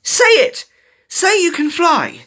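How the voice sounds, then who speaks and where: Shrill, cheerleading animal pal. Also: big pitch swings, slow pace, bright, forceful tone, heavily acted. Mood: urging. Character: excitable, relentlessly cheerleading animal friend.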